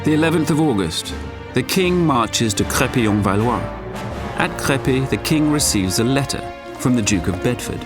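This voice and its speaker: A man playing a sober chronicler, giving measured scripted narration of a war history in an audio drama. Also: medium pitch, animated, over music, close to an everyday voice. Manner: measured, present-tense chronicler's delivery.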